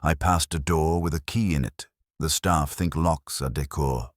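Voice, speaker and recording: A man playing a wry, brisk young private detective who talks in dry wisecracks, in an audiobook mystery. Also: extremely low pitch, no room sound. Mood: matter-of-fact.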